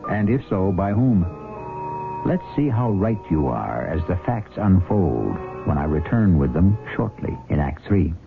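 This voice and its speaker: A man's voice: sits low, sing-song, a little slow, vocal fry, exaggerated voice. An American man hosting a 1970s radio mystery, narrating in a dramatic, portentous style with rhetorical flourish. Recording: muffled vintage radio broadcast, loud music.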